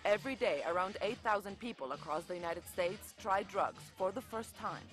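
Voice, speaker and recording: A woman correspondent narrating a scripted television news report in a measured, expressive way. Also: medium pitch, faint music behind.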